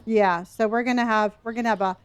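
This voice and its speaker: Older woman, early 60s, casual streamer type, talks to chat and trails off mid-thought, slightly higher voice. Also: steady tone, quick pace, highs cut off, noisy background. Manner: chatty, reads chat aloud, trails off and restarts.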